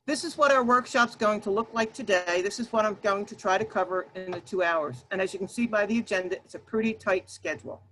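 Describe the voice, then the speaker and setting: A woman presenting an online workshop over a video call, talking loudly and emphatically and addressing her audience directly. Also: mid-range voice, fairly quick, few pauses, faint music behind, poor audio.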